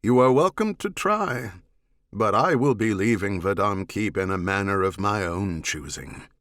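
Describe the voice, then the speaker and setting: A man voicing a cool, evasive alien oath-bound hunter with a measured, formal manner in a science fiction audiobook. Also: lowish voice, lively intonation, a bit bright, no echo. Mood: coolly defiant.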